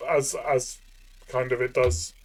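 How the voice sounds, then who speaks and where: A man co-hosting a casual game review chat, thinking aloud in a halting way and repeating phrases. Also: medium pitch, little pitch movement.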